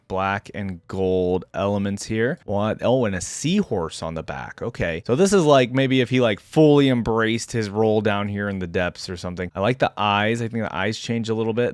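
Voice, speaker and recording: A man hosting a reaction video, giving casual commentary and thinking out loud as he goes. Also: lowish voice, dry recording, clean studio sound. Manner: musing aloud, thinking through reactions.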